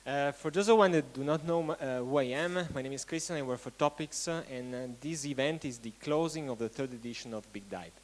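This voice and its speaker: A man hosting an event, giving a halting welcome speech to an audience and often restarting his phrases. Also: mid-range voice. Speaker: event host.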